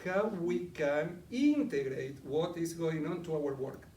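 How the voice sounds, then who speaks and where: A middle-aged Argentinian man leads a live talk, chatting with the room and putting questions to his listeners. His voice sits a little high and is picked up from some distance in an echoey meeting room, with faint ambience behind. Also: lively intonation, measured pace, darkish tone, slight background noise. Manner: conversational, asks the audience questions. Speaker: coaching presenter. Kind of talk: live interactive presentation.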